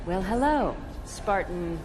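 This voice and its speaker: A woman's voice: fairly low pitch, lively intonation, slow pace, noisy background. An actress playing a tough female military commander with dry, teasing authority in a game cutscene. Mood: dry, amused.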